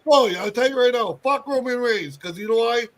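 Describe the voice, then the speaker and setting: Brash high-voiced man from Hawaii. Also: animated, a little fast, over a noisy crowd. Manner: brash, profane, emphatic. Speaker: panel guest. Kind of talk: heated casual rant.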